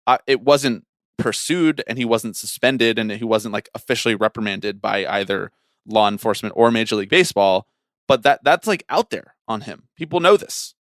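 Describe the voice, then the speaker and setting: A man's voice: fairly low pitch, normal speed, a bit bright, dry recording, studio quality. A man co-hosting a casual sports podcast, telling stories in a wry, opinionated way. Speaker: podcast co-host.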